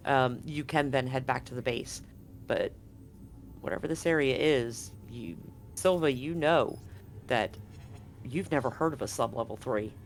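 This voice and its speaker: A man's voice: medium pitch, measured pace, a little hiss or hum. A man narrating a live tabletop role-playing game as game master, explaining things conversationally. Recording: music playing behind the voice. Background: music.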